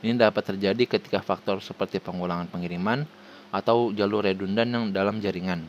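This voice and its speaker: A man with an Indonesian accent reading a formal scripted presentation haltingly, stumbling over words and restarting them. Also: fairly low pitch, fairly even intonation, slight background noise. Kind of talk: scripted presentation read aloud.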